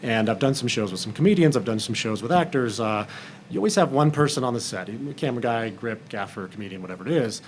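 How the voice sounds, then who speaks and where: A man's voice: mid-range voice, lively intonation, quick pace, a little hiss or hum. A man speaking candidly and informally as a producer in a roundtable discussion, explaining things in plain words. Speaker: film and TV producer on a panel.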